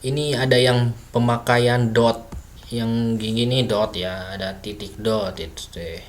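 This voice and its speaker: A man's voice: mid-range voice, fairly even intonation, unhurried, slight background noise. A man teaching basic coding in a casual screen-recorded lesson, thinking aloud with many fillers and self-corrections. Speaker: self-taught coding tutor.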